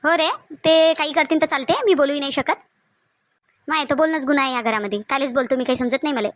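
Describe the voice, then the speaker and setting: A woman's voice: sits high, steady tone, fast talker, natural voice. A woman playing a bossy, suspicious village mother-in-law in a cartoon, scolding insistently in a Varhadi Marathi accent. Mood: aggrieved. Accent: Varhadi (Vidarbha) Marathi. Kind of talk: amateur Marathi cartoon family comedy acting.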